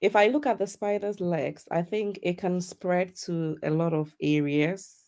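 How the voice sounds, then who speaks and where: Mellow, lowish-voiced woman. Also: measured pace. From Ghana. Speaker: guest, digital business mentor. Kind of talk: casual interview chat.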